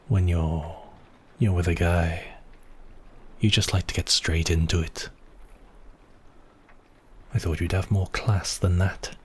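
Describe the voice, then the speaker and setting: Vampire count played by a man for ASMR roleplay: very low, unhurried, seductive and possessive, breathy, teasing. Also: a little mellow, full character voice. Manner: slow, teasing, intimate, with whispered phrases. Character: seductive, possessive male vampire count toying with his captive.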